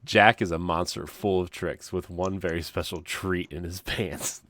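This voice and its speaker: Deep-voiced chuckling man on a podcast. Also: steady tone, unhurried, a bit bright, dry recording. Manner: chuckling, amused delivery. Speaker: podcast co-host. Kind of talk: comic reading aloud and casual chat.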